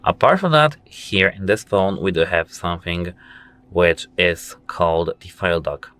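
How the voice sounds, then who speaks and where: Deep-voiced man walking through phone tips. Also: a little slow, a bit bright. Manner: explains step by step, repeats "as you can see". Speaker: tech tutorial host. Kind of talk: casual phone how-to walkthrough.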